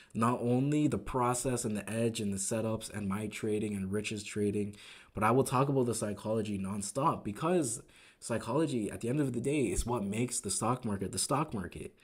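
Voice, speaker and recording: A man hosting a trading live stream, recapping the market in casual, upbeat talk that keeps asking "right?", his voice growing a little raspy. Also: fairly low pitch, a little mellow. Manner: enthusiastic, repeats words, asks "right?" often. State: voice getting raspy.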